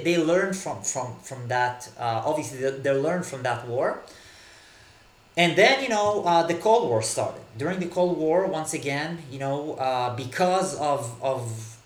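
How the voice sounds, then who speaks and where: A man from Israel, a native Hebrew speaker, answering interview questions in a halting way with many fillers and repeated words. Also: medium pitch, reverberant. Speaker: podcast guest, author. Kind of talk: casual interview talk. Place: Berlin.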